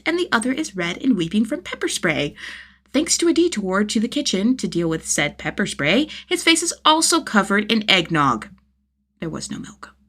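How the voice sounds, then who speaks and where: A woman reading a story as narrator, in expressive scripted narration. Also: medium pitch, husky. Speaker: podfic narrator.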